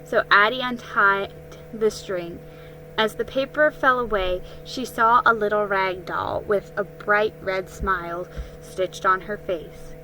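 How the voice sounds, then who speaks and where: Slow-paced woman reading a story aloud. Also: mid-range voice, fairly even intonation, sharp tone, soft background music, rough recording. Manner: careful, halting reading with stumbles and re-reads. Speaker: storybook reader. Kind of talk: dramatic reading of a children's story.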